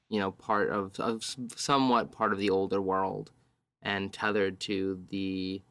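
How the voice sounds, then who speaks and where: Man, low smooth voice, very slow pace, tabletop game player, improvised descriptive narration, fragmented phrasing. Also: fairly bright tone, no room sound. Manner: halting, piecing descriptions together in fragments.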